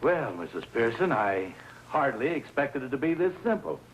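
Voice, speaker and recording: A man's voice: mid-range voice, very animated, lightly acted. Man acting a dry 1950s police lieutenant, noisy soundtrack. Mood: firm, confronting. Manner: measured, dry, probing questioning. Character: calm, probing male police lieutenant, drily sardonic. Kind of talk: 1950s television crime drama acting.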